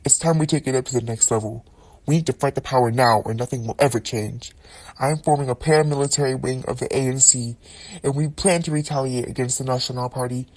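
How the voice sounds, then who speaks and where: A man's voice: medium pitch, fairly even intonation, fast talker, darkish tone, lightly acted, poor audio. A man playing a resolute freedom fighter leader in an amateur puppet show, speaking in a declamatory, defiant way. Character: resolute male freedom fighter leader rallying his followers.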